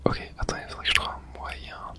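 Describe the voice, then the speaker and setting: Whispering woman, casual ASMR gamer. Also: fairly quick. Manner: whispers throughout, casual and slangy. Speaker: streamer playing a horror video game. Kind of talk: whispered ASMR gaming commentary.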